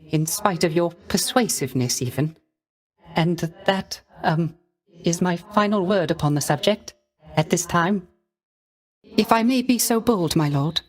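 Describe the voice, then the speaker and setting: A gentle young male musician of the underworld for a video game. His voice is soft and airy, with a melancholy cast. He speaks in ornate, deferential phrases like a courtly poet. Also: high voice. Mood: apologetic, deferential. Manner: ornate, formal, deferential diction. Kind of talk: theatrical game character voice lines.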